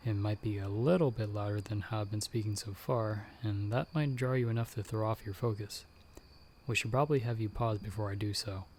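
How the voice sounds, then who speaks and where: Low-voiced, calm monster hunter played by a man. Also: slight background noise, close to an everyday voice. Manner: hushed, measured, reassuring instructions. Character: calm, protective male monster hunter guiding someone by telepathy. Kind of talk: ASMR audio roleplay.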